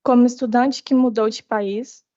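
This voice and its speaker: A woman's voice: a little high, steady tone, moderate pace, mellow. A teenage girl, a native Portuguese speaker, giving testimony as a student in short phrases. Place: committee hearing. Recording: dull, muffled audio.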